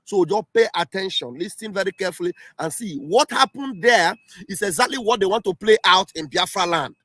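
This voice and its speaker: A man's voice: high voice, lively intonation, fairly quick, fairly bright tone, no room sound. Emphatic man hosting a livestream. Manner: emphatic, repeats himself often. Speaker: livestream host. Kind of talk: casual livestream commentary. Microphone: home livestream setup.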